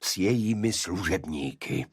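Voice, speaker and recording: Guy doing a vengeful fanatic cultist in a mystery audiobook, husky, worked up and indignant, very dry sound. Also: sits low, animated, dry recording, full character voice. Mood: fanatical, vengeful. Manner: impassioned, indignant, halting repetitions. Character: fanatical vengeful English gentleman cultist, grieving father.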